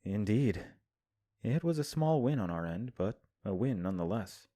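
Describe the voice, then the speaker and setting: A man voicing a composed young prince in an audio drama, speaking in a low, mellow tone with calm, measured formality. The sound is dry and close, with no room around it. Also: no echo. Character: composed young male prince giving counsel. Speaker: voice actor. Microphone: home recording.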